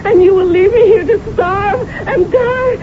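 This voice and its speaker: A woman's voice: extremely high pitch, unhurried. An actress in a radio drama playing a hysterical, jealous trapped woman, stammering and repeating herself in panic. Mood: hysterical terror. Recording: muffled old radio broadcast, noisy.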